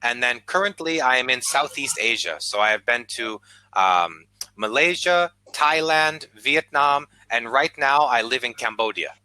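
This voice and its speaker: An American man, an online English tutor, chatting patiently on a video call and speaking slowly and clearly. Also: medium pitch, lively intonation, pushed and bright, low-quality recording. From United States. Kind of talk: casual conversation lesson.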